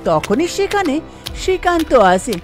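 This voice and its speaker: A woman storyteller narrating a scripted cartoon tale in an expressive storybook style. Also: extremely high pitch, unhurried, soft background music.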